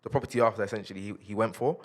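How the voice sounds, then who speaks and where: Deep-voiced young man. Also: rapid-fire. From South East London, England. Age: 20s. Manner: relaxed, laid-back, lots of "yeah, yeah" fillers. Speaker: podcast guest. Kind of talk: casual interview chat.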